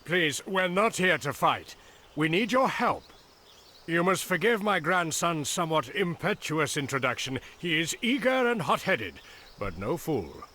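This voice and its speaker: A man playing a cautious, wise old grandfather in a video game, speaking in a measured and expressive way. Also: a little high, full character voice. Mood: pleading, cautious. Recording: insects and ambient noise behind.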